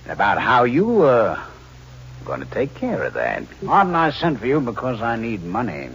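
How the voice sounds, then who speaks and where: An actor playing a sly, needling, falsely friendly crook who schemes murder, in 1940s radio style. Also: male voice, mid-range voice, huge pitch swings, normal speed, fairly bright tone, husky, full character voice. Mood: falsely chummy. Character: sly, fat scheming crook plotting his uncle's murder. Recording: dull, hissy old radio recording.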